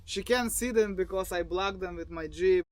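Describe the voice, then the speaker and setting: A man in his thirties from Bulgaria with a Bulgarian accent, a falconer talking casually outdoors in a halting way with restarts. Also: sits high, darkish tone. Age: 30s. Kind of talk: casual recorded monologue. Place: outdoors in the desert. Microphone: phone.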